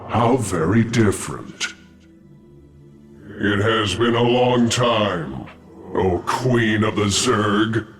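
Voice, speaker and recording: A man's voice: on the low side, extremely slow, pauses often, a bit bright, soft background music. A man voicing a grave, formal ancient alien warrior in a video game, solemn and stately. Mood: solemn disapproval.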